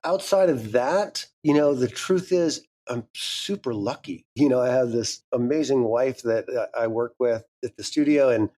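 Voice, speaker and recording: A man's voice: mid-range voice, dark tone. Frank, candid man in his fifties. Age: 50s. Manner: frank, candid, swears casually. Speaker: guest, audio drama creator. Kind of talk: casual interview chat.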